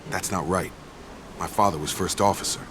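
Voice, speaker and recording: An actor playing a troubled, loyal soldier in a video game, with a firm, earnest delivery. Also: male voice, sits low, fairly even intonation, moderate pace, noisy background, lightly acted. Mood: concerned, determined. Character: troubled, loyal soldier son seeking answers.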